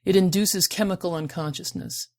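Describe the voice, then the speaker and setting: Woman reader as female bounty hunter, cool, wary, self-assured, low voice, audiobook dialogue. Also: fairly even intonation, normal speed, darkish tone, dry recording, studio quality, close to an everyday voice. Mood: cool, dry. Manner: matter-of-fact, self-assured. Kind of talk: audiobook character voice.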